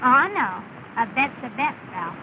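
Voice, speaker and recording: A noisy, narrow old movie soundtrack carries a spirited young socialite. Her high voice has a playful, teasing way. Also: sing-song, unhurried. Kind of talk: 1930s film acting. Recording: tinny, noisy 1930 film soundtrack.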